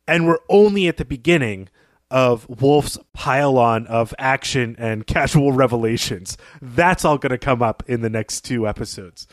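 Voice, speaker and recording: A man's voice: mid-range voice, moderate pace, no room sound. Man discussing literature, dry close sound. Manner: thoughtful, analytical, articulate. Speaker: podcast co-host.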